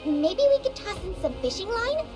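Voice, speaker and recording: A woman's voice: very high voice, very animated, normal speed. An actress voicing an impatient, girlish young female soldier in a game cutscene. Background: game music.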